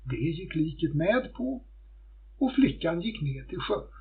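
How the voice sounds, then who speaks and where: Husky man telling a folktale, phone-like sound. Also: a little high, sing-song. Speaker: storyteller. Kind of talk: scripted folktale narration. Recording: muffled phone-like audio.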